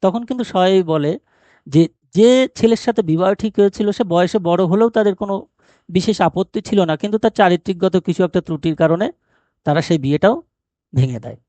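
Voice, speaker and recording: A man's voice: high voice, a little fast, warm tone, no room sound. A man explaining a story as a teacher, posing questions and answering them himself. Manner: didactic, asks and answers own rhetorical questions. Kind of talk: explanatory lesson retelling a short story.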